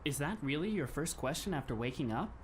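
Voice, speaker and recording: A man's voice: on the high side, animated, fairly quick, slight background noise, close to an everyday voice. A man playing a polite, composed teenage schoolmate in an anime fan dub, with formal, courteous phrasing. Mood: calm, amused.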